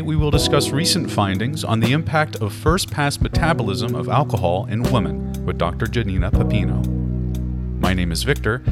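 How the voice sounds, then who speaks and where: A young man in his twenties, a graduate student hosting a podcast, reading an introduction and interviewing politely. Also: lowish voice. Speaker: podcast host.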